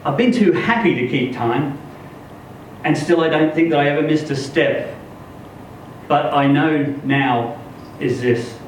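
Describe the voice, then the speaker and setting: A man giving an expressive live reading of a first-person literary story, acting out the dialogue as he goes. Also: fairly high pitch, a little slow. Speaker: story reader. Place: live reading event in an echoey room. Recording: echoey room, distant mic, noisy.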